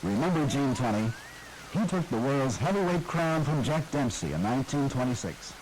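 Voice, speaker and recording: Lively old-time documentary narrator. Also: male voice, mid-range voice, normal speed, noisy background.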